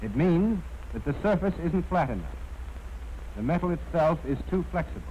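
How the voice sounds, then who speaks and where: An American man reading scripted instructional narration in a formal, measured, precisely enunciated way. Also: fairly high pitch, sing-song, warm tone. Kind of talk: scripted training film narration. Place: narration recorded for an archival film. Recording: dull, noisy 1940s film soundtrack.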